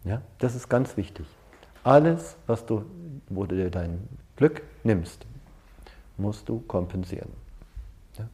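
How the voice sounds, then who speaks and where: A man's voice: on the low side, lively intonation, slow, long pauses, warm tone. A man leading a seminar in an informal, conversational way, often checking in with short tag questions. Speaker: seminar leader. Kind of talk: informal seminar talk with personal anecdotes.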